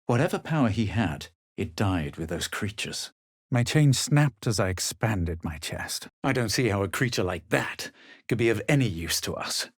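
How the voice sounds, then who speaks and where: A man voicing a haughty, self-assured fantasy adventurer in a video game, measured and superior in manner. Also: on the low side, animated, slightly rough, no echo, studio quality. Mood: brooding, composed. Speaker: video game player character. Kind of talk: scripted game voice lines, narration and spell incantations.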